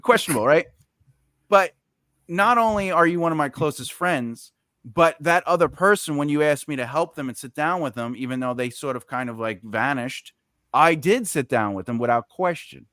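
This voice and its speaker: A man's voice: fairly high pitch, expressive, moderate pace, fairly bright tone, no room sound. Rambling man hosting a chat. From United States. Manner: rambling, many fillers and restarts. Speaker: podcast host. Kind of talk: casual chat.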